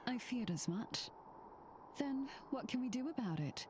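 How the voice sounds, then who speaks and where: A woman's voice: a little high, lively intonation, unhurried, background noise. A woman playing an uneasy, polite guest at a haunted manor in a mystery video game. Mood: fearful, resigned. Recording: clear background ambience with animal calls.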